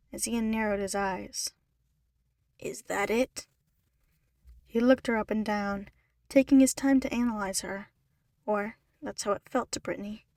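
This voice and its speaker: A woman's voice: mid-range voice, darkish tone, no echo. Woman narrating fiction, close and dry. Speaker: podfic narrator. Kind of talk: scripted story narration.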